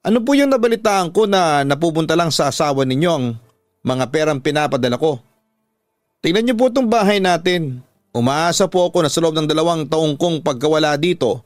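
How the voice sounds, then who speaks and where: A man's voice: fairly high pitch, no echo, studio quality, lightly acted. A man playing a hardworking, aggrieved daughter, indignant and pleading, in a radio drama. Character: hardworking, aggrieved grown daughter standing up to father. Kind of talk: Filipino radio drama acting.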